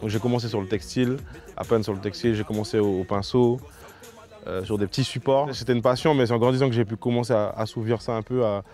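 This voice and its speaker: A man's voice: lowish voice, fairly even intonation. French Guianese man talking over music. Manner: halting, with repeated words and restarts. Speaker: graffiti artist interviewed for a documentary portrait. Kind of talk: casual spoken interview about own work. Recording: loud music under the voice.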